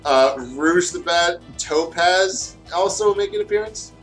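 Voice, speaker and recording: A man's voice: high voice, measured pace, fairly bright tone, faint music behind, low-quality recording. A man in casual, giggly chat, reading aloud over a video game. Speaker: guest co-host reading fan fiction.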